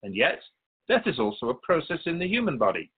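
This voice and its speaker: A man playing a patient, all-knowing keeper of souls in a radio drama, explaining things in a measured, gently wry way. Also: a little high, big pitch swings, breathy edge, phone-quality sound. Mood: wise, gently wry. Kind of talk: scripted radio drama dialogue.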